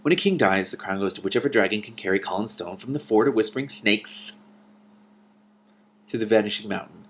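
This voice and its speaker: A man's voice: lowish voice, lively intonation, a little fast, a bit bright, slight background noise. A man voicing an earnest, puzzled forest king who questions things and sounds easily surprised, in a storybook reading.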